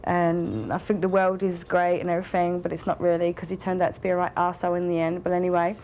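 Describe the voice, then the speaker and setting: A woman's voice: fairly low pitch, level tone, quick pace, a little mellow, a little hiss or hum. A young woman telling a personal story to the camera in a rambling way, full of fillers. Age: young adult. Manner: rambling, with many fillers and restarts. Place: indoor studio with a plain wall.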